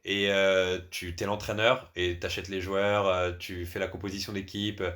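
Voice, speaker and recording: A man's voice: low voice, level tone, moderate pace, fairly bright tone. A man with an accent from France, a podcast guest telling personal anecdotes in a casual, conversational way. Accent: French from France.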